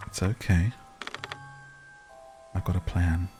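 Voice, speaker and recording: A man's voice: low-pitched, steady tone, takes it slowly. A British man giving casual, chatty gameplay commentary, playfully talking to the characters as he goes. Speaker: gaming video host. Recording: music under the voice.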